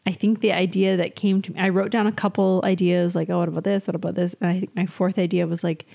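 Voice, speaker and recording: A woman's voice: fairly low pitch, little pitch movement, fast talker, gravelly, dark tone. A young adult woman co-hosting a podcast in casual comedic banter, with a dry, teasing way of talking. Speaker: podcast co-host and writer.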